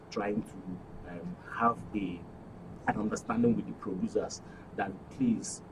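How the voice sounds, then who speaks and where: A man with a Nigerian accent speaking earnestly as a guild official in an interview, recorded on a noisy, distant microphone. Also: deep voice. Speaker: actors' guild official, studio guest.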